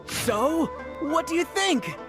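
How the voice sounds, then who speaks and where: A woman's voice: high voice, very animated, slow pace, full character voice. A woman voicing an adoring, eager young fan club member in an anime video game. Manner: gushing, eager. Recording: game music behind the voice.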